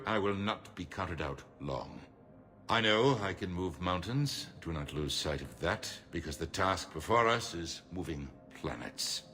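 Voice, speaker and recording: An actor playing a shrewd middle-aged politician in a video game, speaking in a measured, oratorical and emphatic way, grave and defiant. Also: male voice, sits low, pushed and bright. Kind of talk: cinematic game dialogue.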